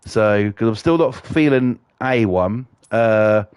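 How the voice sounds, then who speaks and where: A man's voice: lowish voice, takes it slowly, a little mellow, clear, resonant tone, dry recording, studio quality. A man with a cold, chatting casually and swearing while streaming a game, with lots of fillers. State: has a cold.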